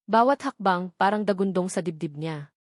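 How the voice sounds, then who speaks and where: A woman's voice: on the low side, moderate pace, no echo, studio quality. A woman narrating a scripted love story in a dramatic, emotive audiobook style.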